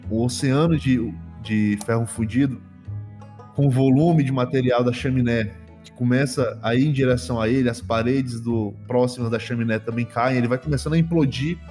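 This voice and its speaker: A Brazilian man improvising tabletop game narration as game master, halting and often repeating his phrases. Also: mid-range voice, mellow. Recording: long hall reverb, music behind.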